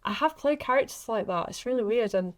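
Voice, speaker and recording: A woman's voice: fairly high pitch, lively intonation, brisk. A woman chatting casually and informally as an actress on a podcast. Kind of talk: casual chat.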